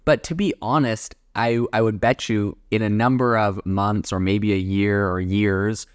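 A man with a fairly low voice talks through tech news as a podcast host. His delivery is casual and explanatory, loosely worded with plenty of informal hedging phrases. The sound is dry and close, with little room in it. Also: steady tone, a little slow, clean tone, no echo. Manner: informal, hedging with frequent "kind of" and "you know".

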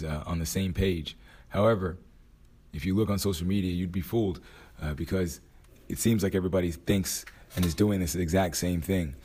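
A man hosting his own podcast, hoarse after a night out, talking casually and thinking aloud with frequent restarts. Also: sits low, a little mellow. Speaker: podcast host. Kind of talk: casual motivational monologue.

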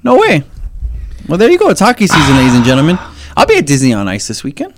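A man co-hosting a casual sports podcast, excitable and swearing a lot, his voice jumping up into a high squeak. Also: quick pace, a little hiss or hum. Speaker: podcast co-host.

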